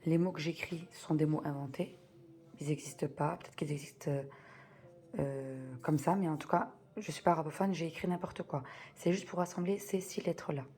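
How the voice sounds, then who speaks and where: A woman's voice: sits low, steady tone, moderate pace, dark tone. A woman teaching a beginners' reading lesson, patiently spelling out sounds step by step and repeating key words. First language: French.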